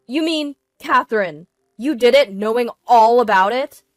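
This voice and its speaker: A woman voicing a blustering, desperate cheating husband who stammers loudly in a dramatized story. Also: fairly high pitch, expressive, measured pace, no room sound, pitched above the performer's own voice. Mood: desperate pleading. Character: panicky, blustering cheating husband, adult man.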